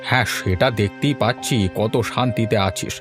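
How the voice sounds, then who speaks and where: A man's voice: mid-range voice, music in the background, close to an everyday voice. A man voicing a snobbish middle-aged father in a cartoon, brusque and grumbling, with disdain for the poor. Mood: disdainful. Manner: brusque, sneering, quick to grumble. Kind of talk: Bengali cartoon voice acting.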